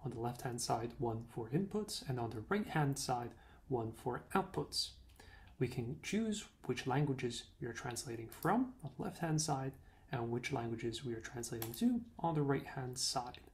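A young man, a native Czech speaker, giving a clear step-by-step software demo on a video call. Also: mid-range voice, animated, moderate pace. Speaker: product demo presenter.

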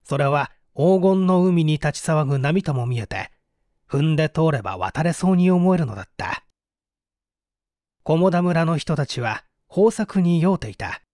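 Expressive male narrator with swooping pitch. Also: a little slow, dry recording, clean studio sound. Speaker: audiobook narrator, stage actor. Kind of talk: dramatic scripted narration of a mystery story.

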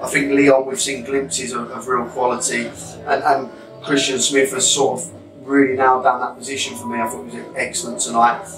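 A man in his thirties with a London accent talks through a match in a small changing room. He speaks in a flat, even tone. The voice is heard from some way off the mic and rings around the small room. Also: medium pitch, reverberant, distant mic, over music, rough recording. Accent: London English. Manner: measured, reflective, many fillers and restarts. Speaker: football manager. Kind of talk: post-match interview. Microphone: camera mic at a few metres.

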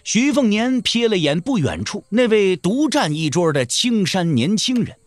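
Animated male wuxia narrator. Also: sits high, moderate pace, no room sound. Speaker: narrator of an audio drama. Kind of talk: dramatic scripted narration of a wuxia novel.

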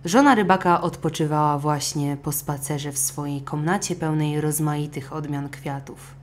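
Very low-voiced woman storyteller. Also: fairly even intonation, normal speed. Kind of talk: expressive scripted audiobook narration for children.

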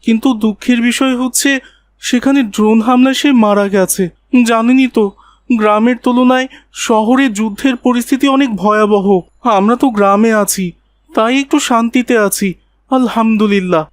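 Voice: mid-range voice, steady tone, moderate pace, no room sound, close to an everyday voice. A performer voicing a polite, earnest young village boy in a cartoon. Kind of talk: cartoon dialogue.

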